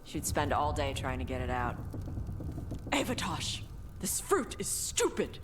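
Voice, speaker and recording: An actress playing a blunt, laconic warrior in a video game, with a terse, deadpan delivery. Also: female voice, low-pitched, measured pace, background noise. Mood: fond amusement. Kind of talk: scripted video game acting.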